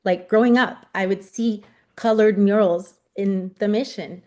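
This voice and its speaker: A woman's voice: medium pitch, steady tone, measured pace. A woman from the Bay Area whose first language was Spanish, speaking eagerly as a guest with many "you know"s. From San Francisco Bay Area. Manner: eager, warm-spoken, frequent "you know". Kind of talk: casual talk on a radio show.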